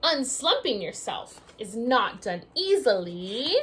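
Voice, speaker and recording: A woman teacher reading a picture book aloud to young children in an expressive, sing-song storybook manner, speaking to them directly. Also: a little high, slow pace.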